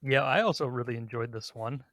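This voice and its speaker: Bright-voiced man hosting a podcast. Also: mid-range voice, brisk, no echo, studio quality. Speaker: podcast host. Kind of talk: casual ranking discussion.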